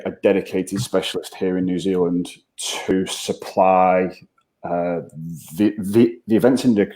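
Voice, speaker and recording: Low-voiced, mellow Mancunian man. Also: low-quality recording. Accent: Mancunian English. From England. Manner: halting, repeats words while thinking. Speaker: interview guest. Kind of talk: conversational interview.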